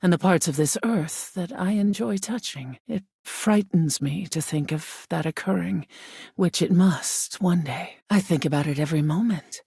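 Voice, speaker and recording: A woman voicing an ancient, aloof female spirit of air in a video game, with a husky, breathy voice that often sinks to a whisper and a solemn, formal delivery. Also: fairly low pitch, moderate pace, mellow, no room sound, studio quality, full character voice. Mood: awed, solemn. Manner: languid, imperious, solemn, formal diction. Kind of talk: video game character acting.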